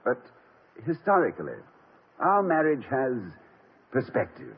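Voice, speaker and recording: An actor with a British accent playing a witty, genial college president in a 1950s radio comedy. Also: male voice, mid-range voice, huge pitch swings, a little slow. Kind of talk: 1950s radio drama acting. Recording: tinny old radio broadcast with faint hiss.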